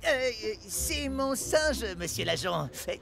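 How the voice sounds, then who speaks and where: A man's voice: very high-pitched, big pitch swings, slow pace, vocal fry, fairly bright tone, faint music behind, full character voice. A man voicing a scheming, menacing circus animal tamer in a French cartoon, with theatrical, mocking delivery. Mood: falsely polite, nervous.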